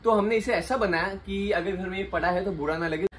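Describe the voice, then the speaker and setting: Young male vlogger, higher pitch, playful and teasing, phone-mic selfie sound. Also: brisk, slight background noise. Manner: playful, joking, mixes Hindi and English. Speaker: vlogger host. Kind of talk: casual house-tour chat. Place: apartment rooms indoors. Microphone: phone at arm's length.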